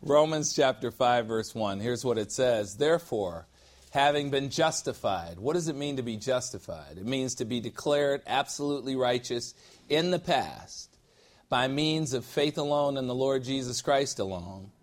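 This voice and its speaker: A male pastor delivering an expository sermon, leaning hard on important words and drawing them out slowly. His voice rises as he builds toward each point. He also jokes lightly with the congregation. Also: mid-range voice, expressive. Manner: emphatic, stretches key words slowly for weight. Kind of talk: expository sermon teaching from scripture.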